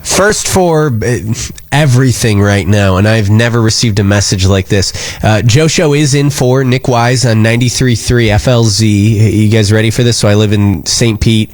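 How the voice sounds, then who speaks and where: A man's voice: lowish voice, normal speed, few pauses, dry recording, faint music behind. An American man hosting a live radio show, talking casually and earnestly in a rambling, concerned way.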